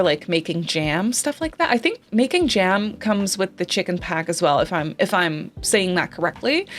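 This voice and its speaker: A young woman whose first language is Dutch, chatting casually over a voice-over with lots of fillers and self-corrections. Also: on the low side. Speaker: Sims YouTuber.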